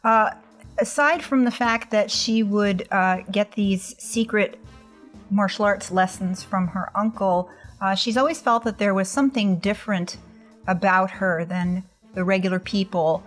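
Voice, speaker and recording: A woman speaks casually as a tabletop game player. Her voice sits at a middle pitch, with halting explanations over faint music. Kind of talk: casual character introduction and table talk.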